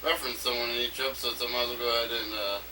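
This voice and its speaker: A man giving an unscripted, rambling monologue as an amateur audio log, haltingly, repeating himself and trailing off mid-phrase. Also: mid-range voice, steady tone. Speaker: amateur game designer recording an audio log. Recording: noisy amateur recording, distant from mic.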